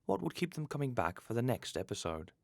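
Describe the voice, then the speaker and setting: A man hosting an interview from a script, in a formal, measured manner. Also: medium pitch, very animated, creaky, darkish tone, no room sound, clean studio sound. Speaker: interview host.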